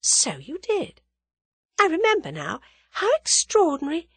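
A woman voicing a bold, impulsive young English woman in a dramatised audiobook, bright and emphatic. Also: sits high, huge pitch swings, measured pace, no room sound, voice pushed up, heavily acted. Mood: surprised, eager. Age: young adult.

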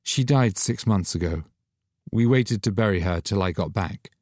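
A man voicing a subdued young woman, a retired model, who answers in a quiet, understated way. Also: on the low side, normal speed, no room sound, natural voice.

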